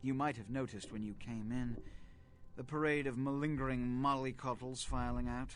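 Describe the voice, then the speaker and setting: A man's voice: mid-range voice, fairly even intonation, moderate pace, a bit bright, a little hiss or hum, lightly acted. A man voicing a curt, pompous vampire prince in a video game, articulate and coolly disdainful. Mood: imperious, impatient. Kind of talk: video game character acting.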